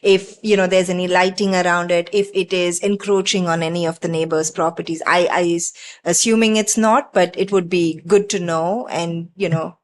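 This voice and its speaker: A woman's voice: on the low side, fairly even intonation, moderate pace. A woman on a planning board questioning an applicant, hedging her points with many fillers. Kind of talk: questioning at a public meeting.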